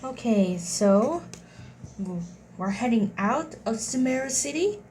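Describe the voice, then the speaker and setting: A woman casually commenting on her game playthrough, slow and halting. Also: on the high side, animated, slight background noise. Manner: slow, halting, reading along. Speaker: gaming YouTuber. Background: loud game music.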